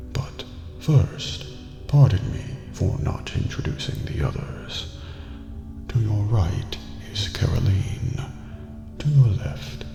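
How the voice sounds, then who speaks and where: A man playing a courteous, eerie old master of a dreamlike prison in an ASMR roleplay, speaking formally and ominously in a gravelly near-whisper. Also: on the low side, heavily acted. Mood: courteous, mysterious. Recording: echoey hall reverb with background music.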